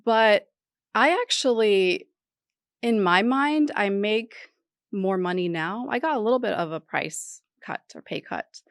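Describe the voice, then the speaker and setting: A woman's voice: mid-range voice, lively intonation, unhurried, smooth, dry recording, studio quality. A middle-aged woman from Iran, a podcast guest talking candidly and with determination about her career change. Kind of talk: casual interview talk.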